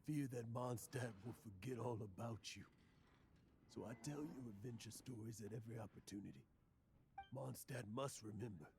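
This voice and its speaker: An actor playing a weary, guilt-ridden old drunken adventurer in a video game, with a gravelly voice and dramatic, halting delivery. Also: male voice, medium pitch, darkish tone, slight background noise, lightly acted. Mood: grieving, defiant. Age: older.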